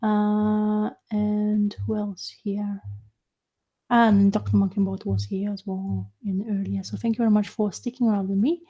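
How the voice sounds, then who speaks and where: A young adult woman whose first language is Lithuanian, chatting casually on a livestream, halting and full of fillers and restarts. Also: medium pitch, level tone, dark tone, muffled recording. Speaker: livestream host. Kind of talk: casual live chat about comics.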